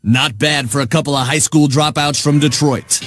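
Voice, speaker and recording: A man's voice: on the high side, fairly even intonation, normal speed, fairly bright tone, lightly acted. Man playing a theatrical TV talk show host, music behind. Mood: mock-earnest. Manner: mock-serious, theatrical. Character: glib, mock-earnest daytime TV talk show host. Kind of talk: scripted talk show presenting.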